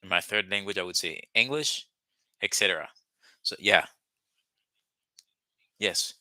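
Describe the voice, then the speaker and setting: Haitian-accented young man as online language tutor, slow patient repeats, sharp tense tone, lowish pitch. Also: dry recording. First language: Haitian Creole. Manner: patient, repeats words slowly for learners. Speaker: language teacher. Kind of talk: live online language lesson.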